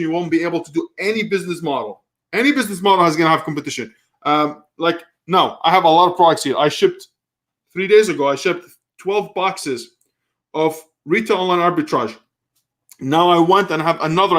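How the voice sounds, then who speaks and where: A man in his thirties with a slightly higher-pitched voice. He hosts a live Q&A stream, answering viewers in a loose, rambling way, often restarting sentences as he thinks aloud. He grew up in Kuwait. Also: a bit bright. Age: 30s. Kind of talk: casual live stream Q&A, reading viewer comments.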